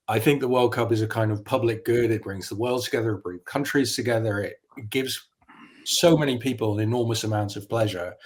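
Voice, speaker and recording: A journalist in his fifties who grew up in the Netherlands, speaking as an interview guest in an articulate, opinionated, reflective way. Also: medium pitch. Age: 50s. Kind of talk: conversational interview.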